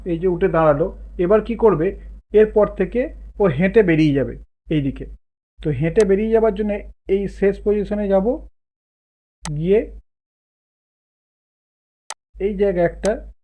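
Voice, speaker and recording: A man giving casual step-by-step software instruction, thinking aloud as he works. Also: sits high, unhurried, long pauses. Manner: explains while working, thinking aloud. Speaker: tutorial host. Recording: muffled phone-like narow-band sound.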